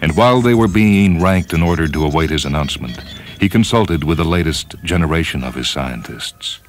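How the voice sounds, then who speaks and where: A man narrating a scripted story in a measured, solemn manner. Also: very deep voice, lively intonation. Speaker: narrator, reader. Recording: music behind.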